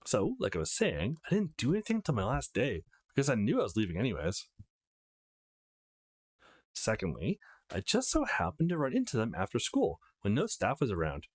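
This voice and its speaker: A man's voice: mid-range voice, huge pitch swings, moderate pace. A man voicing a brash, foul-mouthed teenage girl from a visual novel, teasing and bragging in a cheeky, crude way. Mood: boastful. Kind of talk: reading visual novel dialogue aloud.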